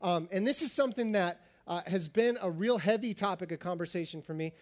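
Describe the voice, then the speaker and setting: A man preaching a sermon as pastor, talking fast and animated, his voice slightly raspy. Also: high voice.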